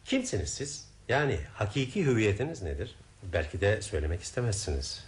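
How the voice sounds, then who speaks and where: A composed radio-drama detective with a low voice that moves widely in pitch. He sounds methodical and probing, laying out each point like a careful investigator. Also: very animated, fairly quick. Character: composed, methodical male detective explaining a murder case. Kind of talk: Turkish radio theatre acting.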